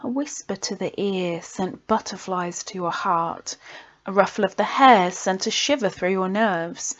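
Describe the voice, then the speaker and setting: Woman slowly reciting poetry, dull recording. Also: mid-range voice. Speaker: poet reading own poems.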